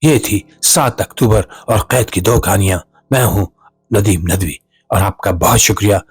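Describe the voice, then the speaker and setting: A man's voice: lowish voice, normal speed. A man delivering scripted dramatic narration as a host, in a solemn, impassioned and rhetorical manner. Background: clear music bed under the voice.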